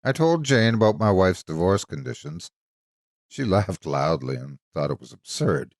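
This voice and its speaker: A man narrating a scripted dramatic story in a measured, expressive storytelling manner. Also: low voice, vocal fry, no room sound, clean studio sound.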